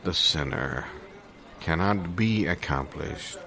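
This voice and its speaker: A man plays a ponderous academic theologian in an improvised comedy sketch. The voice is extremely deep and drags slowly, with halting, formal phrasing that is pompous and meek at once. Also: lively intonation, sharp tone, heavily acted. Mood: solemn, pompous. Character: ponderous academic doctor of theology, meekly interrupting.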